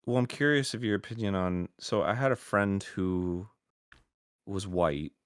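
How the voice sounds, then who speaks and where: A man's voice: deep voice, measured pace, smooth, no echo. A man as an interview guest, speaking thoughtfully with many "um" and "uh" fillers. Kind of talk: casual interview chat.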